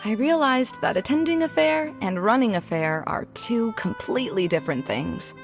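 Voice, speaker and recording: A woman's voice: fairly high pitch, animated, moderate pace, faint music behind. An actress playing an earnest, reflective young scholar woman in an anime game. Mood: regretful. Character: wistful, self-doubting young scholar woman running a fair.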